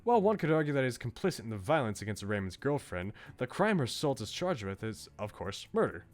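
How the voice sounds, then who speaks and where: A man's voice: medium pitch, big pitch swings, fast talker, close to an everyday voice. A man reading aloud a visual novel's bookish male student, articulate, philosophical and politely opinionated. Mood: musing, conceding. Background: music.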